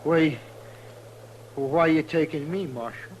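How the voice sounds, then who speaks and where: High-pitched, wheedling cattle rustler played by an actor. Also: male voice, a little slow, lightly acted. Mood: pleading, panicked. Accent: American. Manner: agitated, stammering, wheedling. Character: whining, nervous, pleading male cattle rustler. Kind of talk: 1950s radio western acting. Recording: noisy vintage radio drama recording.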